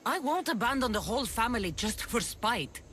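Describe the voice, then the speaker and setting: A woman's voice: a little high, very animated, moderate pace, a bit bright, a little hiss or hum. An actress voicing a determined young female mercenary hero, firm and earnest in a video game cutscene. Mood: resolute. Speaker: game protagonist. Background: music.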